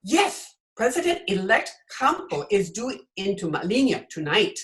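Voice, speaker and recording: A woman's voice: mid-range voice, very animated, measured pace. A woman playing a brusque, dramatic señora in an old-time radio comedy, speaking emphatically. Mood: urgent. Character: sharp, dramatic señora of a corrupt island regime.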